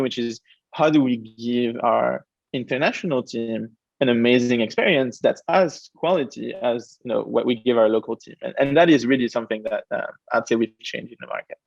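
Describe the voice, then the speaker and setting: A young man from France, a startup co-founder, answering interview questions quickly and animatedly, with frequent fillers. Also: mid-range voice, creaky, no room sound. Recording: dull, dry lo-fi audio.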